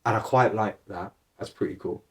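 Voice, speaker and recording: A man's voice: lowish voice, moderate pace. A young man from England, chatting casually as a podcast co-host and rambling with restarts as he searches for words. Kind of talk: casual chat.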